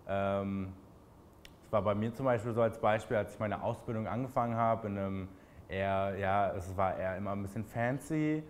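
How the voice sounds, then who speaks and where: Relaxed Berlin-accented young man, lowish voice. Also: normal speed, a little hiss or hum. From Berlin, Germany. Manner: relaxed, chatty, many fillers, laughs easily. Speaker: interviewee. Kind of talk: casual interview answers.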